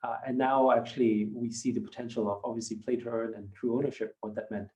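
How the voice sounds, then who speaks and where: A German native speaker in his fifties, from Austria, talks in a measured, reflective way and hedges often. His voice is mellow and warm, and its pitch sits in the middle range for a man. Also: level tone, rough recording. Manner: measured and reflective, many hedging fillers. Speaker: company founder and guest. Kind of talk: podcast interview.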